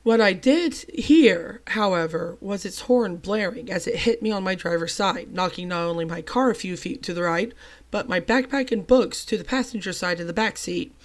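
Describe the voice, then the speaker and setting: Young storyteller with a cold-worn voice. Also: medium pitch. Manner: chatty and self-deprecating, with lively emphatic bursts. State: recovering from a cold, voice a little off. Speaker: channel host telling a personal story. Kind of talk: storytime narration.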